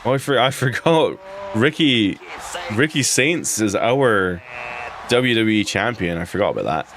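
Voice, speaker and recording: A man's voice: on the low side, animated, unhurried, a bit bright, slight background noise. A man with an Edinburgh Scottish accent chatting casually while playing a wrestling game, rambling and restarting his sentences. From Scotland. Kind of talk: casual live commentary on gameplay.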